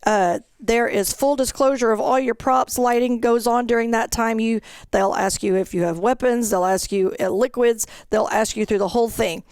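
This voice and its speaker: A woman's voice: fairly high pitch, normal speed, few pauses, darkish tone, smooth, no echo. A woman presenting an information session as an event organizer, explaining procedures step by step in an instructional way.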